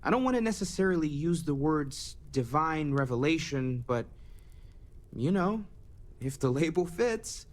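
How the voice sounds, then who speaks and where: An actor playing a kind, earnest scholar turned space explorer in a video game, speaking in a gentle, conversational way. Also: male voice, fairly high pitch, animated, unhurried. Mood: earnest, curious. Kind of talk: video game character dialogue.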